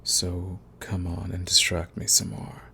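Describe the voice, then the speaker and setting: Man playing a low, gravelly flirty villain. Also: level tone, measured pace, bright, forceful tone, slight background noise, full character voice. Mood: coaxing, smitten. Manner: teasing, intimate, coaxing. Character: flirty villain, enemy turned suitor, cocky and coaxing. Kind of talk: romance ASMR audio roleplay.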